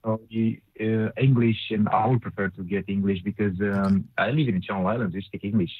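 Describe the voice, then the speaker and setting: A man calling in, speaking haltingly and searching for his words. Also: on the low side, fairly even intonation, husky, no room sound. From Romania. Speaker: caller. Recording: tinny phone line.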